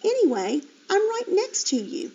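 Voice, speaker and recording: A woman's voice: very high-pitched, huge pitch swings, moderate pace, mellow, voice pushed up, full character voice. A woman voicing a patient, motherly sow who explains things to her piglets. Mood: soothing. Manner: soothing, explaining. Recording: dull lo-fi recording.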